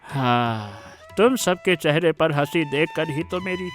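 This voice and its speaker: आदमी की निभाई ऊँची आवाज़ वाला भावुक बूढ़ा पिता. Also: male voice, fairly high pitch, expressive, a little slow, fairly bright tone, faint music behind. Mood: warm, reminiscing. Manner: exclamatory, repeats words of praise. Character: warm elderly village father, delighted and sentimental storyteller. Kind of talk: Hindi cartoon story acting.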